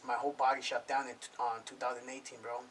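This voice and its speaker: A man's voice: medium pitch, flat delivery, fast talker, fairly bright tone. Slangy man in his thirties, distant mic. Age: 30s. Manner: slangy, swearing, fillers "bro" and "homie" throughout. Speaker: podcast guest, music producer. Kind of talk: casual interview talk. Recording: distant mic with faint noise.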